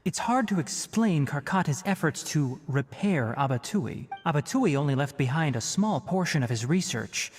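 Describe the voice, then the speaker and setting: A stern young forest ranger scholar in a video game. He lectures precisely and dryly, yet his pitch moves in lively swings. The sound has a long reverb, with music underneath. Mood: reflective. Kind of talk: video game character acting.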